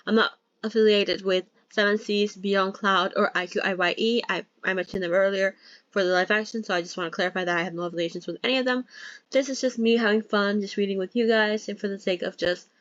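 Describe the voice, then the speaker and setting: A woman's voice: medium pitch, steady tone, quick pace, fairly bright tone, no room sound. Chatty woman rambling to her viewers. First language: not Thai. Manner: chatty and rambling, frequent restarts and "um"s, laughs at herself. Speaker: book-reading channel host. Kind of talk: casual chat to viewers before reading aloud. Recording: dull dry home recording.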